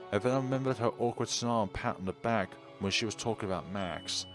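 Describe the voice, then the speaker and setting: A low-voiced man reads story narration aloud as a let's player. He delivers it like a dramatic storyteller, with faint music playing behind him. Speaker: let's player reading a visual novel aloud.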